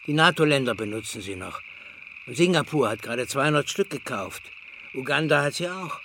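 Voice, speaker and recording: An actor playing a fussy, methodical retired explosives expert in a German crime radio drama, speaking calmly and precisely. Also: male voice, medium pitch, a little fast, slight background noise. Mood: calm, informative.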